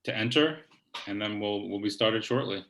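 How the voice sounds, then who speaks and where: Man, lowish voice, easygoing online reading host, lots of fillers, video call from home. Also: normal speed. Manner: relaxed, hesitant, many um and uh fillers. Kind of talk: hosting a virtual poetry reading. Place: home, kitchen table or similar room.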